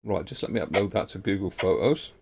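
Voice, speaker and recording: Male hobby host, low and gravelly, self-mocking and chatty, drifts off mic. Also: animated, a little fast, a little mellow. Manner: chatty, self-mocking, laughs at own mistakes. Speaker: radio hobby livestream host. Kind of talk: casual live-stream chat.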